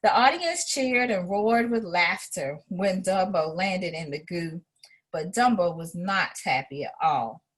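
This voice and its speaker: A woman reading a children's story aloud in an expressive way, as an educator would to a young listener. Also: lowish voice, measured pace, low-quality recording.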